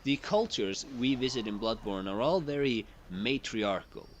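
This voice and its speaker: A man reading a scripted video essay narration in a measured, formal way. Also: mid-range voice, lively intonation, a little hiss or hum.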